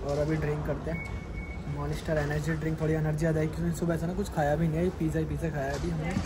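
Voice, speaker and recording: A young man from India vlogging his trip through an airport onto a plane, chatty and excited, talking to his viewers as guys and mixing in English words. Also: fairly high pitch, racing. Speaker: travel vlogger. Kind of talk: excited handheld travel vlog. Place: airport terminal and plane cabin, indoors.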